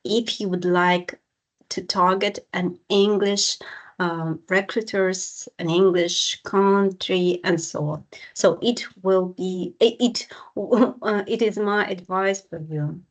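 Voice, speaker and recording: A woman's voice: mid-range voice, steady tone, slow pace, low-quality recording. Woman with a Ukrainian accent hosting a workshop. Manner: hesitant, many fillers and restarts. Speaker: program manager and workshop moderator. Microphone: video call.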